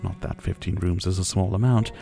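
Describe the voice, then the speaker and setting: A man's voice: deep voice, over music. A man playing a menacing, teasing vampire captor in an intimate ASMR roleplay, drawling and mockingly polite. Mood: idle, conversational. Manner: slow, drawling, mockingly polite, with breathy chuckles. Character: menacing, teasing male vampire captor, silver-haired, about seventy.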